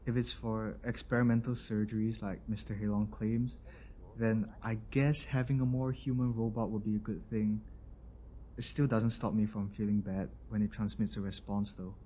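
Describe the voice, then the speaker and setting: Man acting as a grumbling engineer, lowish voice. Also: level tone, moderate pace, a little hiss or hum, lightly acted. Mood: matter-of-fact. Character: grumbling but soft-hearted male robotics engineer. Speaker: voice actor in an audio roleplay. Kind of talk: scripted audio drama acting.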